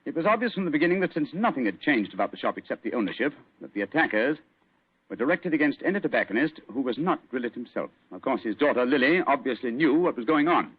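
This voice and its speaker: An actor playing a superior, brilliant English gentleman detective with a British accent and an assured, condescending manner in an old radio drama. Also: mid-range voice, very animated, fast talker, husky. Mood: assured, explaining. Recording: tinny narrow-band old radio recording.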